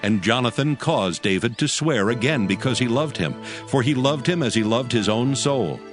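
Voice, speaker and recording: A man's voice: mid-range voice, lively intonation, moderate pace. A man narrating a dramatized Bible story as a scripted reading in archaic English. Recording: background music under the voice.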